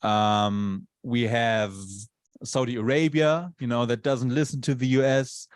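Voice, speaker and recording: A man's voice: medium pitch, steady tone, a little slow, smooth, no echo, studio quality. A male university lecturer who is not a native English speaker, giving a conversational lecture and often checking in with tag questions. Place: lecture room.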